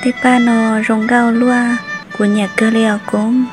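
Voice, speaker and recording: A woman's voice: fairly high pitch, flat delivery, unhurried, music in the background, natural voice. A woman voicing a young village woman. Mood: chatting. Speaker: voice actor. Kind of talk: amateur cartoon voice acting. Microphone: phone microphone.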